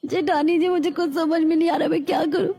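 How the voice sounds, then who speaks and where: A woman playing a distressed, mistreated young daughter-in-law, pleading plaintively in a melodramatic animated story. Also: very high voice, fast talker, vocal fry, soft background music. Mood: despairing.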